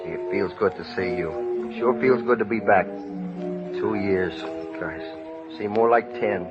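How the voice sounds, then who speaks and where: Low-voiced earnest small-town fellow. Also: male voice. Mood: relieved. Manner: folksy, colloquial, halting. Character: earnest, rough-spoken small-town man hopeful yet nursing old hurt. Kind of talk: 1930s radio drama acting. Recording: muffled vintage 1930s radio recording, music behind.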